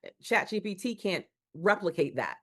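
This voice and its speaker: Woman guest, low-pitched, earnest and emphatic, repetitive preacher-style build. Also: very animated, moderate pace, a bit bright. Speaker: guest speaker. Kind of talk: conversational interview.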